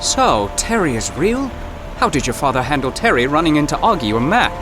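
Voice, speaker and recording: An actor playing an earnest, poetic adventurer who speaks in florid, theatrical phrases in an audio drama. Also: male voice, fairly high pitch, big pitch swings, fairly quick, music in the background. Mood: earnest, questioning. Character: earnest, wordy, poetic male adventurer, easily carried away.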